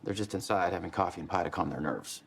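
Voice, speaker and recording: A man playing an easygoing, frank small-town sheriff in a game drama, plain-spoken, with a gravelly, husky voice. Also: deep voice, quick pace, fairly bright tone, heavily acted. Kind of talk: game cutscene drama acting.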